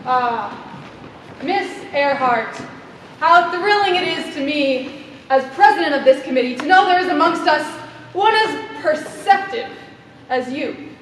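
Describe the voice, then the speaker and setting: A woman acting in a live stage play as an imperious, scheming committee president, making pompous, deliberate speeches. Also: sits high, animated, fairly bright tone. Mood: smooth, condescending. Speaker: stage actor. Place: indoor theater stage, recorded from afar. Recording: echoey theater hall, distant mic, faint noise.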